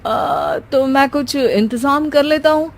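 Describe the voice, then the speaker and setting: A woman's voice: sits high, very animated, takes it slowly, vocal fry, full character voice. A woman voicing a bossy young husband in a cartoon who keeps making joking remarks to his wife. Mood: teasing. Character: bossy teasing young husband, office worker. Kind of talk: cartoon voice acting.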